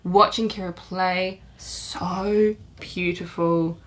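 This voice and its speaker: A young woman co-hosting a podcast, talking in a warm, effusive way with lots of hesitations. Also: lowish voice, steady tone, takes it slowly. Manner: effusive, hesitant with many ums. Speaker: podcast co-host. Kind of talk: casual review chat.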